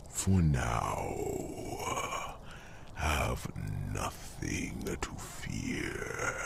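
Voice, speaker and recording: A man playing an ancient, tender, wise dragon in an ASMR fantasy roleplay, murmuring slowly in a gravelly half-whisper. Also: rumbling low, noisy background, full character voice. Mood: reassuring, amused. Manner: slow, pausing, murmuring. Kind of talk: ASMR fantasy roleplay, slow intimate monologue.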